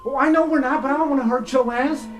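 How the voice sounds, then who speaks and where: A blunt, wheedling creature from a tabletop fantasy game, acted out by the game master, talks in a folksy, slangy way and repeats himself. His voice rides high and excitable, in a roomy space with music behind it. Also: quick pace, natural voice. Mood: agitated, wheedling.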